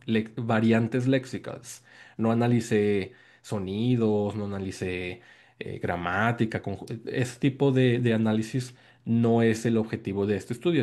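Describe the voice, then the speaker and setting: Mellow-voiced Mexican man lecturing. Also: mid-range voice. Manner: explanatory, repeats key words and checks in with "¿no?". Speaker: guest lecturer presenting research. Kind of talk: academic presentation on a video call. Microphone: video call microphone.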